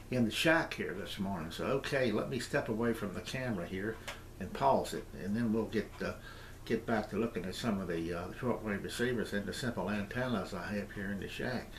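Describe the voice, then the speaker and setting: An American man in his sixties giving a casual, unscripted hobby demonstration in a halting, rambling, repetitive way. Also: fairly low pitch. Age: 60s. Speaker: amateur radio hobbyist presenting his gear. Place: small indoor radio room at home. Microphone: camera mic, tripod then handheld. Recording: roomy echo, background noise, camcorder.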